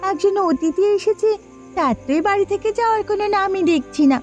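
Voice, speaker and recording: A woman's voice: extremely high pitch, expressive, fast, darkish tone. A woman playing a bandit's questioning, complicit wife in a Bengali cartoon. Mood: grumbling. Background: background music.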